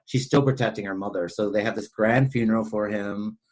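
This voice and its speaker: A man's voice: lowish voice, steady tone, brisk. A man co-hosting a podcast, chatting casually about films in a rambling, conversational way that circles back over his points. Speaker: podcast co-host. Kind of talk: casual film discussion chat.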